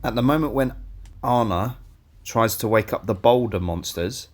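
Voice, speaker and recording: A young man co-hosting a casual film review podcast, blunt and teasing, swearing freely and a little hoarse. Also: medium pitch, moderate pace. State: losing his voice. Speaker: podcast co-host.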